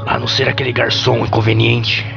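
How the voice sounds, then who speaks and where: Man playing a gravelly, smitten old-fashioned suitor. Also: medium pitch, flat delivery, brisk. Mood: lovestruck. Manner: gushing, formal. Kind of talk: melodramatic romantic radio soap acting. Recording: dull lo-fi audio under music.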